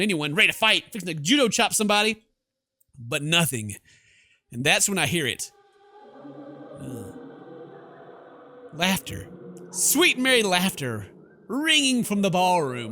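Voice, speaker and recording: A man's voice: sits high, bright, forceful tone, a little hiss or hum. A young man hosting a gameplay video, reading story text aloud theatrically and throwing in jokey asides. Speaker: gaming video host. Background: game music.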